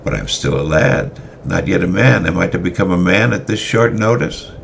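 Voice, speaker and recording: A man giving a semi-dramatic reading of his own story, halting now and then with fillers and restarts. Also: low-pitched, lively intonation, gravelly, fairly bright tone, a little hiss or hum.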